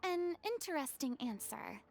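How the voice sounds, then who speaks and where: A grand, earnest young stage lady in a video game. She speaks in dramatic, formal phrases, high in pitch with some creak. Faint music sits behind her. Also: sing-song, normal speed, a little mellow. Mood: reflective. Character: theatrical young former performer lady, proud, eloquent, earnest.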